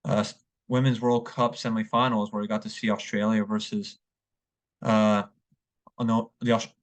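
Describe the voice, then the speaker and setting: A young American man from the Northeast presenting a class essay, hesitant, with many fillers and restarts. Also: on the low side. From northeastern United States. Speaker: student.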